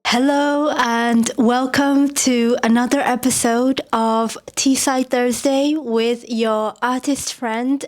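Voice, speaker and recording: A woman's voice: a little high, steady tone, slow, hardly any pauses, faint music behind. A woman from Myanmar hosting a casual podcast, sounding nasal as she gets over a cold, rambling with many "like" fillers. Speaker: podcast host. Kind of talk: casual chatty podcast talk.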